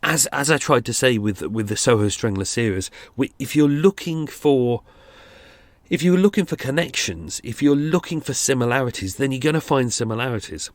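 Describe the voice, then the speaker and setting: A man's voice: medium pitch, normal speed, no room sound. A man hosting a podcast, talking casually and rambling through restarts, nasal and sniffly from a cold. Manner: rambling, restarts and fillers, thinking aloud. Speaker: podcast host. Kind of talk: casual true-crime commentary.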